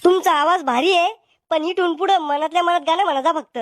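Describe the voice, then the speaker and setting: A blunt, sarcastic village father from a comic cartoon skit, acted out by a man. The voice is artificially pitched up and sits high. He speaks with a rural Maharashtra Marathi accent, in a dry recording without room sound. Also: a little fast, a bit bright, no room sound.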